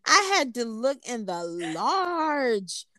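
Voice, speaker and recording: A woman's voice: fairly high pitch, takes it slowly, no echo. Woman telling a story, dry close sound. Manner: animated, quotes herself in raised voice, frequent restarts. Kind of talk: casual conversational storytelling.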